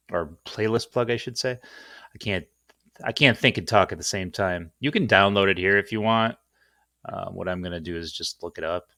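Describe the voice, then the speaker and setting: A man's voice: lowish voice, fairly bright tone, dry recording, studio quality. A man hosting an informal, off-the-cuff screen-share tutorial in a rambling, self-deprecating way. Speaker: tutorial host.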